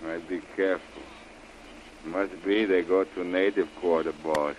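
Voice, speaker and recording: Slow, deep-voiced henchman. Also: steady tone. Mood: dutiful. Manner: halting broken English, subservient. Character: big, obedient henchman with a slow, simple manner. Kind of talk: 1930s radio adventure acting. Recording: muffled old radio recording, faint noise.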